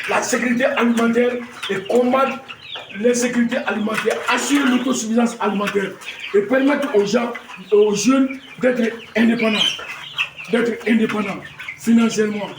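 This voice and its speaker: A man's voice: extremely high pitch, fairly even intonation, normal speed. A man in his fifties with a Malian French accent, a poultry breeder talking proudly to camera on his farm, repeating himself and restarting his words. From Mali. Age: 50s. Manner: proud, repetitive, stumbling restarts. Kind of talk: farm tour talk to camera. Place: poultry farm with clucking hens. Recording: echoey, far from the mic, noisy farm.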